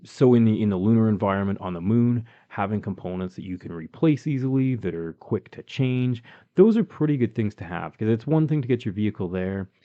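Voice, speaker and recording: A man's voice: lowish voice, expressive, fairly quick, muffled recording. A man with a Canadian accent co-hosting a podcast, explaining things in a chatty way with many ums and you-knows. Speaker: podcast co-host. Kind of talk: casual podcast chat.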